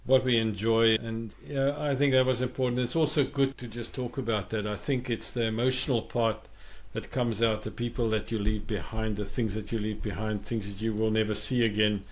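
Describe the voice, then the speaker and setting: Thoughtful South African podcast host. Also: male voice, on the low side, flat delivery, fairly quick, few pauses. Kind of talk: reflective podcast conversation.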